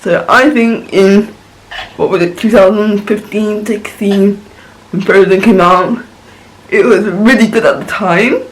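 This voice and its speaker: A man's voice: high voice, unhurried, rough recording. A man vlogging a casual film reaction, rambling and searching for words as he gushes.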